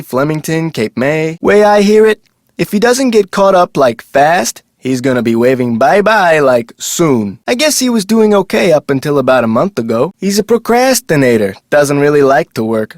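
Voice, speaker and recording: Video game park guard, adult male actor: eager, folksy talker, slightly high-pitched, very lively inflection. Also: moderate pace, no echo, studio quality, natural voice. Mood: chatty, casual. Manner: folksy, colloquial, animated delivery. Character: chatty, eager amusement park security guard, adult man. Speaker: security guard character. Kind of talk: scripted video game character dialogue.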